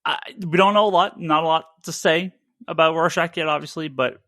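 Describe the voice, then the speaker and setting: A man's voice: fairly high pitch, brisk, bright, forceful tone, dry recording, clean studio sound. A man hosting a casual comics podcast, talking emphatically and with strong opinions, often restarting and repeating phrases. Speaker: podcast host, comic store owner.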